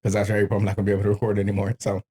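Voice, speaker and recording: A man's voice: on the low side, completely flat delivery, racing, dark tone, husky. An American man, around his thirties, hosting a podcast with casual, comedic banter, wry, profane and emphatic. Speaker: podcast host.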